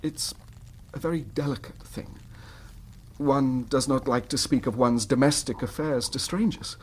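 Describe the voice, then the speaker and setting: An actor playing a troubled, well-bred Victorian husband in a radio drama, with an English accent and a halting, formal, agitated manner. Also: male voice, medium pitch, husky. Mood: desperate, troubled.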